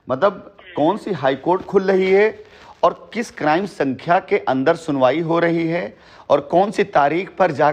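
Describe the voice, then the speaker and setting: A man on a recorded phone call, explaining at length in an emphatic, long-winded way and repeating his phrases for weight. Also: a little high. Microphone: telephone.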